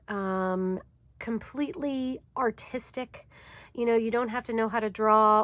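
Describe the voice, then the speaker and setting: A woman's voice: a little high, dry recording. Woman, stuffy from a cold, craft vlog host, casual chatty tutorial, dry close sound. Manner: chatty and easygoing, with frequent fillers.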